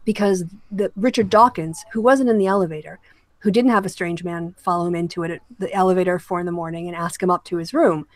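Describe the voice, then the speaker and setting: A woman's voice: lowish voice, fairly even intonation, fast, no room sound. A woman hosting a casual panel discussion in a conversational way. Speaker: host.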